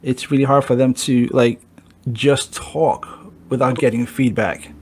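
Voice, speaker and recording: A man's voice: medium pitch, steady tone, a little slow, a little hiss or hum. A man hosting a casual podcast chat who talks in a loose way and swears freely. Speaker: podcast host.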